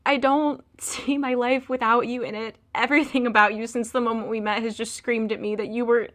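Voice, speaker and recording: A woman's voice: on the high side, level tone, brisk, a bit bright, close to an everyday voice. A young woman acting in an audio drama as a heartbroken, emotional girlfriend pleading with her boyfriend. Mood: desperate, pleading.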